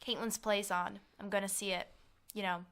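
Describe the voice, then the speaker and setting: Actress as young student flatmate, blunt and dry, clipped lines, sharp bright voice, radio play. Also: female voice, mid-range voice, moderate pace, natural voice. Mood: dry disapproval. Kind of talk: naturalistic audio drama dialogue.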